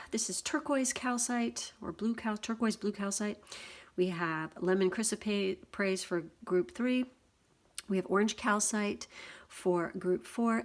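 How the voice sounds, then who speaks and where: A woman with a slightly worn, hoarse voice giving a casual general oracle card reading, swearing freely. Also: medium pitch. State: voice going hoarse. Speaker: tarot reader.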